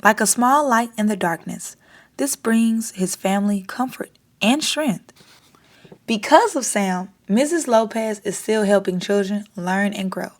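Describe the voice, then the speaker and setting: A woman hosting a storytime, reading a children's picture book aloud in an expressive, deliberate way. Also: mid-range voice.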